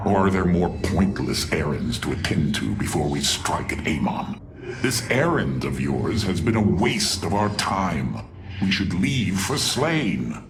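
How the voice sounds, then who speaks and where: An actor playing a haughty, cruel, sardonic alien warlord in a video game cutscene, drawling with theatrical contempt in a deep, gravelly voice. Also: male voice, very animated, unhurried, background noise, exaggerated voice. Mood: impatient, taunting.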